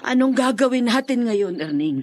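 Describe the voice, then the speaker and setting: A frightened middle-aged mother, voiced by a woman, with a fairly high, pleading voice. Faint crickets chirp behind her in this night scene of a radio play. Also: expressive, moderate pace, slight background noise, natural voice. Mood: fearful. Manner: fearful and pleading. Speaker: mother worried for her son. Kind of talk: radio drama acting.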